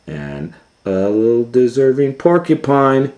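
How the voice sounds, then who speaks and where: A man's voice: medium pitch, big pitch swings, slow pace, a little mellow, muffled recording. A man reading a children's storybook aloud as a storytime host, retelling it informally with musing asides. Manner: improvised asides and musing hums.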